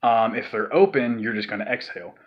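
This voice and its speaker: A man talks through a vocal technique in a casual, rambling way, full of fillers, restarts and breathy demonstration sighs. His voice sits at an everyday middle pitch. The recording is dull and lo-fi, like a home setup. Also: a little fast. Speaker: vocal tutorial maker. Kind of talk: casual spoken tutorial.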